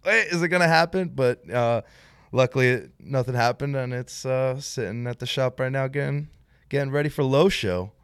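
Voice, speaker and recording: A man chatting casually about cars, animated and full of filler words. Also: medium pitch, moderate pace, clean tone, dry recording, studio quality. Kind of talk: casual car chat.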